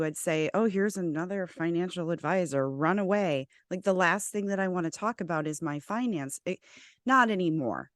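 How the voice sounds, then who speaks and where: A woman hosting a podcast, chatty and conversational, with quick asides and restarts. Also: low-pitched, no echo, clean studio sound. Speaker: podcast host. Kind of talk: conversational interview.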